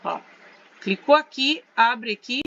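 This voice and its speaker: A woman's voice: a little high, expressive, slow, creaky, a bit bright, dull audio, a little hiss or hum. A Brazilian woman teaching English in a casual walkthrough tutorial, chatty and often checking in with her students. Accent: Brazilian Portuguese. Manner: chatty, checks in with tag questions. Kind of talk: casual screen-share tutorial.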